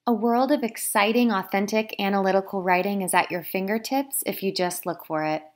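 A woman's voice: mid-range voice, brisk. A woman who is an author, reading her own book aloud as scripted narration.

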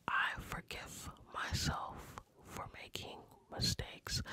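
An ASMR creator whispering guided breathing and affirmations, repeating each phrase in a reassuring way. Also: bright, forceful tone.

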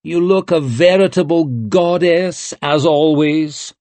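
A man's voice: a little high, takes it slowly, darkish tone, no echo, pitched above the performer's own voice. A man playing a pompous fawning writer. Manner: flowery, grandiose, fawning. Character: pompous, flattering male writer, vain showman.

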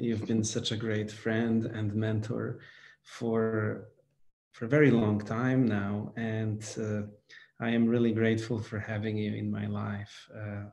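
A man in his forties from Poland, a guest telling his life story in a halting way, with many fillers. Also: fairly low pitch, gravelly, a little mellow, roomy sound, a little hiss or hum. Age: 40s. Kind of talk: reflective conversation.

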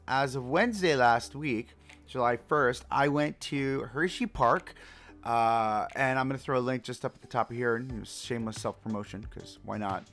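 A man hosting a game play-through, chatting casually with many fillers and restarts, his voice a little raspy and hoarse from screaming. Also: medium pitch, a bit bright. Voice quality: slightly raspy. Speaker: let's play host.

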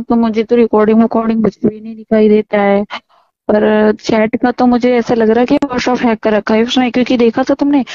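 A woman's voice: a little high, warm tone, dry recording. A woman talking casually in a private phone conversation, heard on a dull lo-fi call recording.

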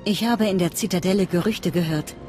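An actress playing a secretive, fanatical cult leader in a game, speaking in a measured, earnest, conspiratorial way. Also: female voice, on the low side, music in the background, close to an everyday voice. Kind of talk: dramatic game voice acting.

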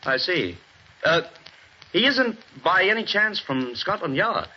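An actor playing an earnest young American diplomat husband in a 1940s radio drama, excitable in delivery. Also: male voice, high-pitched, slow, breathy edge, exaggerated voice. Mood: anxious. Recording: dull old radio broadcast with faint hiss.

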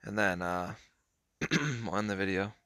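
Slow, hoarse young man mumbling through gameplay. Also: fairly low pitch, lively intonation, bright, forceful tone, no echo, studio quality. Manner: laid-back, mumbled, trailing off. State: hoarse, keeps clearing throat. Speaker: mobile game player. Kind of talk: casual gameplay commentary.

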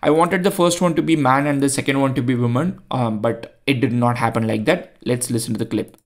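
Indian guy, chatty tutorial host, lots of um and like, mid-pitched. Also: brisk, slight background noise. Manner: chatty, many fillers and restarts. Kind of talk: screen-recorded coding tutorial.

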